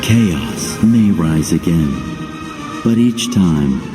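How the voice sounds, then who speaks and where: A man playing a grave, calm, unyielding oracle in a video game, speaking in solemn declarations. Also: low voice, very slow, heavily acted. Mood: stern, solemn. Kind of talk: video game cutscene acting. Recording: music behind.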